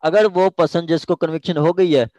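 A man's voice: a little high, fairly even intonation, fairly quick, dark tone, no echo. A man with a Pakistani accent explaining a legal text as an informal lecturer, repeating key phrases and switching into English. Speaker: law lecturer.

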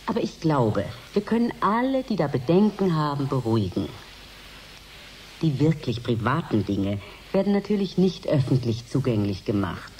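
Eine Schauspielerin spielt in einem Hörspiel eine gefasste, bestimmte Wissenschaftlerin, die im Interview förmlich und abgewogen erklärt. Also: female voice, very deep voice, sing-song, a little mellow, slight background noise. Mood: reassuring. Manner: formal, measured explanation. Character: composed female scientist, reassuring yet resolute. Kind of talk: radio drama interview.

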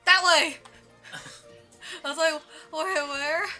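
A woman's voice: high-pitched, fairly even intonation, drawn-out words, sharp tone, soft background music. A woman joining a let's play, teasing and giggling from a little way off the mic. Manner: bubbly, teasing. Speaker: co-commentator. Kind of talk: casual gaming chat with friends.

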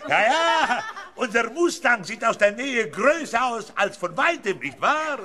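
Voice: medium pitch, sing-song, unhurried, sharp tone. An actor playing a grandiose, jovial circus ringmaster who declaims theatrically to the audience in a 1970s radio play. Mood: jovial coaxing.

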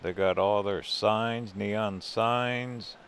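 A man's voice: on the low side, steady tone, extremely slow, fairly bright tone, slight background noise. An older man narrating a casual walk through a museum as a travel vlogger, easygoing and wry with chuckling asides. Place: indoor museum buildings.

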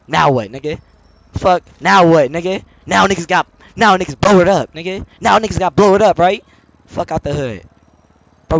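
High-pitched young man, boastful and slangy. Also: no echo, rough recording. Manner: boastful, repetitive, heavy street slang and swearing. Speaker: game streamer. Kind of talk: excited casual live-stream commentary while role-playing in a game.

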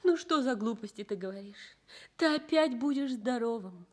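Highish female voice, devoted young nurse, anxious loving wife, Soviet radio drama. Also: lively intonation, normal speed. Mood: tender, consoling.

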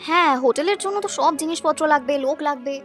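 A woman playing a practical, caring young village wife in a cartoon, chatting in a brisk, homely way. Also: sits high, fairly bright tone, faint music behind. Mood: curious. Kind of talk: cartoon voice acting.

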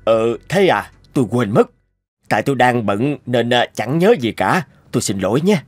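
A man's voice: medium pitch, sing-song, moderate pace. A man voicing a busy, grumbling male research scientist in a cartoon, with a harried manner. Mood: distracted. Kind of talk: cartoon voice acting.

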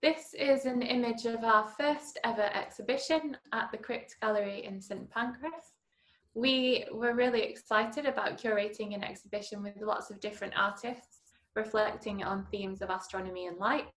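A woman's voice: mid-range voice, fairly even intonation, moderate pace, fairly bright tone. A woman from Manchester, an artist explaining her gallery's exhibitions on a video call, with some fillers. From Manchester, England.

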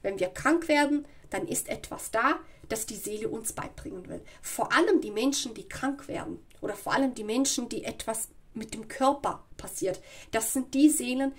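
A woman with a slight cold giving a spoken tarot reading at home, explaining slowly and often checking in with "ja" and "okay". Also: fairly high pitch. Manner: slow, searching and explanatory, with frequent "ja" and "okay". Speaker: tarot reader. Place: home room with a tarot table.

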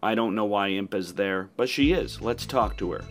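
The man on a retro gaming channel, talking viewers through a level in a lowish voice over soft game music. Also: normal speed. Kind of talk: casual playthrough commentary.

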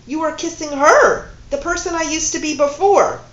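A woman's voice: high-pitched, very animated, unhurried, voice pushed up. Woman voicing a tearful, furious betrayed woman. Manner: shouting, accusing, tearful. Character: distraught, furious woman who feels betrayed. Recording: dull, roomy recording with faint hum.

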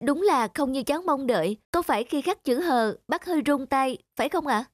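A woman voicing a polite young bride-to-be, a customer in an animated moral story, with a courteous manner. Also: sits high, fairly quick, dry recording, clean studio sound. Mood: disappointed, polite.

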